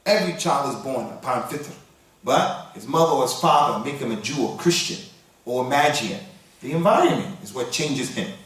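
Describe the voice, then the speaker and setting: A man from the United States giving a sermon, reciting Arabic verses quickly and then explaining them in English. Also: mid-range voice, fairly bright tone. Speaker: Islamic preacher. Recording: very echoey hall, voice from far off.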